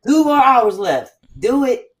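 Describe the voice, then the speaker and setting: A woman hosting a casual chat, talking in an animated, blunt, profane way with quick asides. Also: high voice, distant mic. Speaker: host.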